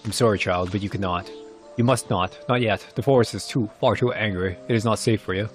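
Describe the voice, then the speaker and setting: A man voicing a weary, solemn old village elder who is gentle with children, speaking in grave, archaic phrasing as in fantasy game cutscenes. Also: fairly low pitch, a little fast, soft background music, natural voice. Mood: gently firm. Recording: clear background noise.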